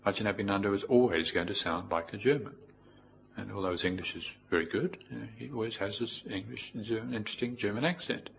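Casting: New Zealand male, middle-aged monk, low voice, measured informal teaching, some background noise. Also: vocal fry, a bit bright, low-quality recording. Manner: reflective, measured with pauses. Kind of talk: informal spoken dharma talk with personal anecdotes.

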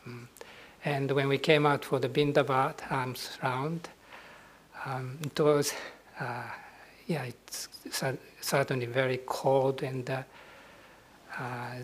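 A middle-aged Japanese man speaks with a Japanese accent in a gentle, husky voice. As a Buddhist monk giving a ceremonial talk, he searches for his words with frequent pauses and soft hesitations. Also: mid-range voice, little pitch movement. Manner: soft-spoken, hesitant, with many pauses and fillers.

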